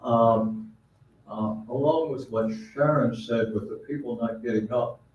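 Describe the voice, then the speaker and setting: A man's voice: medium pitch, a little slow, slight background noise. Blunt man complaining in an echoey hall. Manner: blunt, complaining, plain-spoken with hesitant fillers. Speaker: resident speaking at public comment. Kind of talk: public comment at a council meeting. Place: echoey council chamber. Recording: dull, echoey hall from far off.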